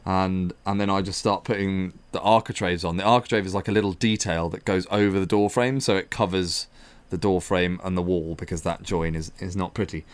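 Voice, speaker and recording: A man's voice: brisk, no echo. A young man telling a story in casual podcast chat, acting out the other people's lines as he goes. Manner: animated, acts out quoted voices with rising pitch. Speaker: podcast co-host.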